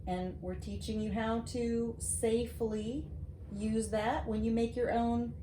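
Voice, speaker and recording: A middle-aged American woman reading a storybook aloud to a child, with casual asides and questions, in an expressive, teacherly manner. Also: fairly high pitch, a little slow. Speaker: host reading to her granddaughter. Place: home library, seated at a desk. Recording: roomy echo, clear background noise.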